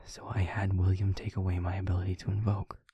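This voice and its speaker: A man playing a gentle, devoted vampire boyfriend in an intimate audio roleplay, speaking tenderly and earnestly in a husky half-whisper. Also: low-pitched, monotone, no echo, dull audio, full character voice. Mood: earnest, loving. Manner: slow, halting, tender, earnest delivery. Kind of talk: intimate audio roleplay, ASMR-like scripted acting.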